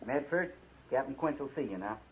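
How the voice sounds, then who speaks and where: A thin, tinny vintage radio sound frames a male actor as a veteran US cavalry sergeant. He is a gruff American man with a mid-pitched voice, terse, dutiful and unpolished in speech. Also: expressive, fairly quick, background noise, lightly acted. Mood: dutiful, concerned. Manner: terse, rough folksy grammar. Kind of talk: 1950s radio western acting. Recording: tinny 1950s radio recording.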